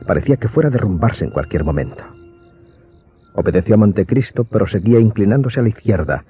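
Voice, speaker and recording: A man narrating a scripted audio drama as its storyteller. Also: deep voice. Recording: muffled, band-limited audio with faint music.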